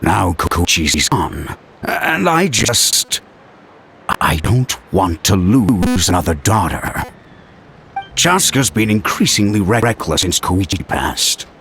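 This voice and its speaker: Gravelly, worried middle-aged father. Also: on the low side, slight background noise, heavily acted. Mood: sorrowful. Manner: earnest, expressive. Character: worried, weary father of a grown warrior daughter. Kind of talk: dramatic game voice acting.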